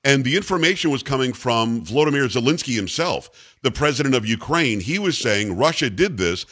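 A male talk show host whose voice swings up and down with lively intonation as he questions his guest. He talks enthusiastically and often stumbles into restarts before getting his point out. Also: mid-range voice, brisk, slightly rough. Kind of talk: radio talk show interview.

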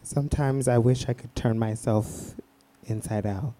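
An actor playing a timid, wistful radio show caller who speaks in a hesitant, halting way. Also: male voice, mid-range voice, fairly even intonation, dark tone, lightly acted. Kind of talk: radio drama acting.

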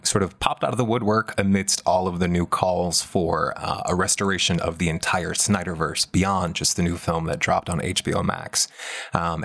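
Low voiced american guy chatting pop culture on a podcast, leans hard on key words. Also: vocal fry, fairly bright tone. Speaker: podcast co-host and writer.

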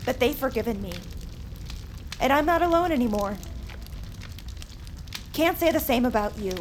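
An actress playing a sassy, defiant high school girl with an American accent in an audio drama, slangy and sarcastic. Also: lively intonation, moderate pace, slight background noise. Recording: music behind the voice.

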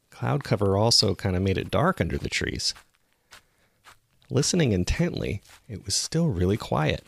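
A man playing a jogger who recounts a frightening experience in the woods, as scripted first-person horror narration told in a measured, suspenseful way. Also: on the low side, gravelly. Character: local male jogger recounting a terrifying discovery in the woods. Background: ambient sound effects.

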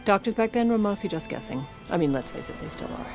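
A woman playing a shrewd, controlling 1910s First Lady in an audio drama, brisk, polished and sardonic. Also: mid-range voice, lively intonation, natural voice. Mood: wry. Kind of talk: audio fiction acting with narrated asides. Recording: music behind the voice.

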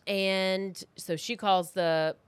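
A woman's voice: mid-range voice, steady tone, very slow, smooth. A woman hosting a true crime podcast, telling the story in a casual, conversational way with informal, blunt asides, close to the microphone.

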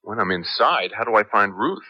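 Worried, animated, low-voiced American. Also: male voice, moderate pace, no room sound. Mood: anxious questioning. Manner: urgent, questioning. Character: worried, guilt-ridden American man eager to help. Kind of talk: 1970s radio drama acting. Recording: dull vintage radio broadcast.

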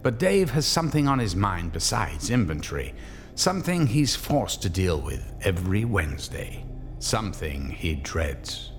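Low-voiced horror narrator guy, dramatic and deliberate, lots of swoop, radio play. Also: sing-song, faint music behind. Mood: ominous. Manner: theatrical, deliberate. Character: ominous, storytelling male horror narrator.

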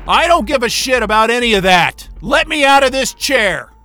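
A high, sharp-voiced scientist in a sci-fi audio drama, trapped and defiant. His delivery swings widely in pitch, with indignant sputtering and swearing. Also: lively intonation, moderate pace, no echo, soft background music, full character voice. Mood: furious. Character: defiant, frantic male astrophysicist trapped at a polar station.

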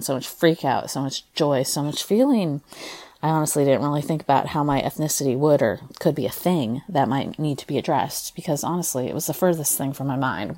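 A young American woman, a stage performer, reading her personal essay aloud in an expressive, conversational way. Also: a bass voice. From United States. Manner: expressive, conversational, acts out quoted dialogue.

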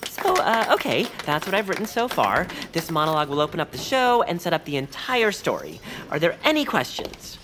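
An actress playing an earnest, flustered young playwright-director in a comic audio drama, husky and theatrical. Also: female voice, lowish voice, very animated, fairly quick, hardly any pauses, noisy background.

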